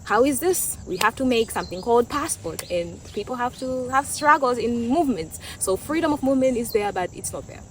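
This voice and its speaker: Young tanzanian woman, fairly high voice, swahili speaker, thoughtful and repeats words, faint outdoor noise, roosters. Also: expressive, brisk, hardly any pauses. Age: young adult. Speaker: vlogger. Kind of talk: casual opinion talk.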